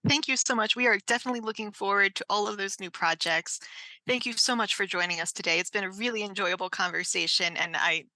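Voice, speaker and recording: A woman's voice: medium pitch, fast, bright, forceful tone, no echo, poor audio. A woman hosting an online panel, speaking in a polite, appreciative way as moderator. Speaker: host, moderator.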